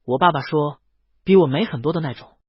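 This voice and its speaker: Casting: timid, innocent young male dragon played by a man, slightly high and husky, hesitant, audio story dialogue. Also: brisk, no room sound, highs cut off. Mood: timid, puzzled. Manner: hesitant, meek, soft-spoken.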